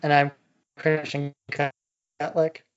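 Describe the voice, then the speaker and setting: Blunt sweary guy cohosting a podcast, voice a bit high, dry lo-fi mic sound. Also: monotone, measured pace, smooth, no echo. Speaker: podcast co-host. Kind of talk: casual opinionated chat.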